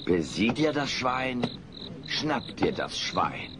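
A man's voice: medium pitch, big pitch swings, slow pace, background noise. A man voicing a brash, whiny alien troublemaker in a melodramatic comedy radio play. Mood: cheeky. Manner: melodramatic, mock-tragic.

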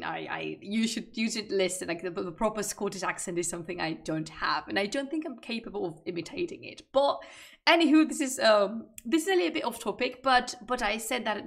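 Young woman, Spanish accent, lively casual streamer, mid pitch; natural chat to camera. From Spain. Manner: animated, gestures while talking, chats with viewers. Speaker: streamer, manuscript researcher. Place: home room, indoors.

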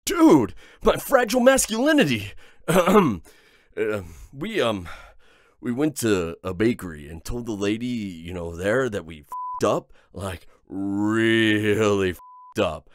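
A man plays a cocky, soft-hearted himbo horseman of war in an audio comedy. His lowish voice jumps around animatedly, with crude dude-bro phrasing that trips over itself, recorded very dry. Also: animated, slow pace, dry recording. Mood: flustered. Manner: dude-ish, crude, stumbling when emotional. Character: brash, macho, flustered himbo horseman of war. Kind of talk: boyfriend ASMR comedy roleplay.

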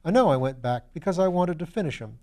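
A man's voice: a little high, expressive, fast talker, darkish tone, pitched above the performer's own voice. A man reading a storybook as a flustered, eager, self-deprecating young upper-class English student who talks in old-fashioned slang. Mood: recalling, eager.

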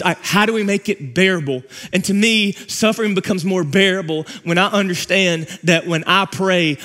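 Pastor, man in his forties, slightly high voice, emphatic sermon delivery with repeated phrases, on stage before a congregation. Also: fairly bright tone. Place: large church stage with audience.

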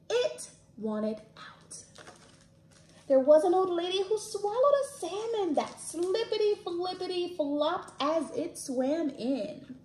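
Woman doing kids storytime, sing-song and expressive, takes it slow, bit of room echo. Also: very high voice, a little hiss or hum. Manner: expressive, sing-song reading to young children. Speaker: children's storytime host at a library. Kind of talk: read-aloud picture book storytelling for children. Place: indoors, small plain-walled room. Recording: roomy echo from camera mic.

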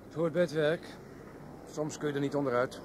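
A man's voice: on the high side, fairly even intonation, fairly quick, close to an everyday voice. An actor playing a resigned, weary policeman in a radio drama. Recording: faint traffic noise behind voice.

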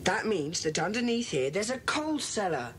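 A woman's voice: mid-range voice, very animated, normal speed, close to an everyday voice. A woman playing a confident schoolboy gang leader with a British accent, earnest and decisive, in a children's audio drama. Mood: keen, decisive. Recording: hissy old cassette tape.

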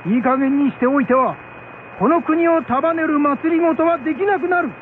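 A man's voice: very high voice, moderate pace. A man playing a stern, imperious shogun in a radio drama, speaking in archaic lordly Japanese. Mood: stern, commanding. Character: stern shogun handing down a harsh judgment. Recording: muffled narrowband radio with background noise.

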